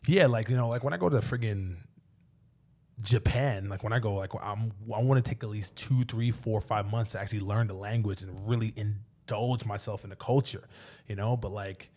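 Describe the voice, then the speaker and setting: Low-voiced podcast host, loose and chatty. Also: male voice, moderate pace, a little mellow. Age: 30s. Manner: loose and talkative, heavy "like", mild swearing. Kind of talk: casual podcast interview.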